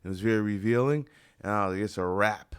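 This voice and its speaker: American guy w a deep smooth voice, slangy radio host just chatting and joking around. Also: fast. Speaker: radio talk show host. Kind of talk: casual chat interview. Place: radio studio.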